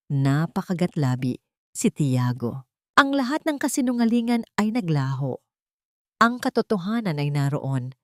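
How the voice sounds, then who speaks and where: A Filipino woman narrates an audio drama in a fairly low voice. Her delivery is emotive and deliberate, like a storyteller working through a scripted tale. The sound is close and dry, with very little room in it. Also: a little mellow, dry recording.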